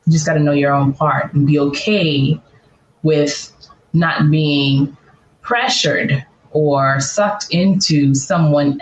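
A woman from the Bahamas, a podcast guest, speaking earnestly and building her points in repeated lists. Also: low voice. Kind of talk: reflective interview answers. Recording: roomy, dull remote audio.

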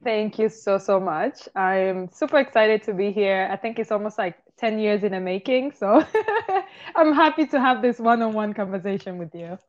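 A lively woman from Tanzania tells her story in long, run-on stretches, and she laughs easily between them. Her voice sits at an ordinary middle height. It comes through a slightly muffled, lo-fi video call from her apartment. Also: mid-range voice, normal speed. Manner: animated, laughs often, talks in long run-on stories. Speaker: guest, tour business owner. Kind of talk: casual interview chat. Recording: slightly dull, lo-fi call audio.

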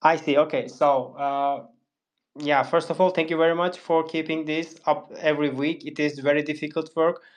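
A man's voice: fairly high pitch, steady tone, normal speed. A man whose first language is Turkish, speaking politely and carefully as an audience guest on a tech chat. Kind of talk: casual chat.

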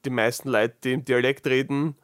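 Man, Austrian dialect, casual podcast monologue, mid-pitched voice, restarts and dry sarcasm. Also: fairly even intonation, a little fast, dry recording. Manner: chatty, thinking aloud with frequent restarts. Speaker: podcast host.

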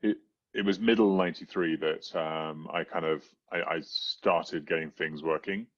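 A middle-aged man telling his own story as an interview guest, halting and often repeating words as he goes. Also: steady tone, dry recording. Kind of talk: personal storytelling in conversation. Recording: dull, muffled low-fidelity audio.

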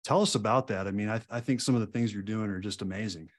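A man's voice: lowish voice, fast talker. A man hosting a casual interview, friendly, giving short prompts and agreeing replies. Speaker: podcast host.

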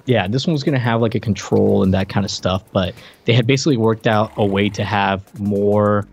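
A man co-hosting a podcast in casual, unscripted chat, with a halting, rambling delivery full of repeated words and stammers. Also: fairly low pitch, steady tone, gravelly, darkish tone, husky. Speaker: podcast co-host reviewing retro video games.

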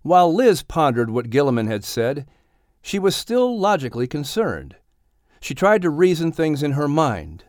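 Man, audiobook narrator, children's story, expressive delivery, animated melody, medium pitch, very dry recording. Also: moderate pace, no echo.

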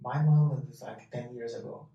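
A man's voice: medium pitch, steady tone, moderate pace, vocal fry, dark tone, very echoey, far from the mic, highs cut off. A man in his late thirties from Brazil, speaking English, answering questions hesitantly and searching for words. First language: Portuguese. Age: late 30s. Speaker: interview guest.

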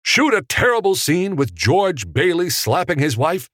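A man voicing a short, fiery, indignant film director in an audiobook, gruff, snappy and sarcastic. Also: mid-range voice, big pitch swings, normal speed, a bit bright, no room sound, clean studio sound, pitched above the performer's own voice.

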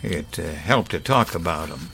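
An actor playing an elderly grandfather in a horror audio drama, folksy, wistful and quietly menacing, with halting, musing delivery. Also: sits low, expressive, vocal fry, slight background noise, full character voice. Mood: haunted, ominous. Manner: halting, musing, with sighs and chuckles. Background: music.

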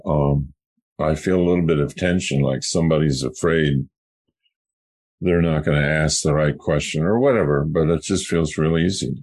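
A man speaking as a podcast guest, answering in an easygoing, relaxed way. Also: very deep voice. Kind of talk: relaxed conversational interview.

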